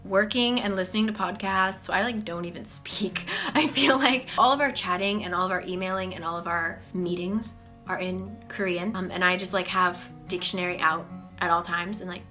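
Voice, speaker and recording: American girl in her 20s vlogging from her room, bright voice, lots of likes and ums, faint music under it. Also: on the low side, moderate pace. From United States. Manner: chatty, lots of "like" and "um", animated. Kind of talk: casual Q&A chat to camera. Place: small bedroom office, indoors.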